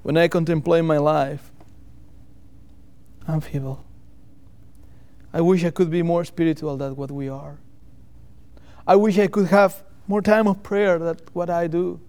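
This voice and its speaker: A man's voice: fairly high pitch, darkish tone. A man from Catalonia with a Catalan accent preaches a sermon in English as a second language, earnestly repeating key phrases for emphasis. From Catalonia, Spain. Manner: earnest, rhetorical repetition with deliberate emphatic phrases. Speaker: missionary preacher.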